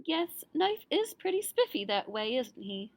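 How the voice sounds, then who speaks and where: A woman voicing a caring mother spoon in a children's storybook, gentle, soothing and encouraging. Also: high-pitched, big pitch swings, unhurried, dry recording, voice pushed up, heavily acted. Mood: sympathetic.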